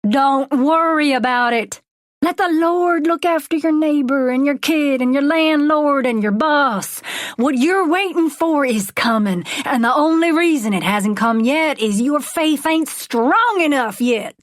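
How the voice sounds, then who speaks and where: A woman's voice: high-pitched, animated, no echo, studio quality, voice pushed up. A woman doing a rousing preacher. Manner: rousing, drawn-out preaching with dramatic pauses. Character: folksy charismatic male megachurch preacher. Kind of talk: audiobook character voice.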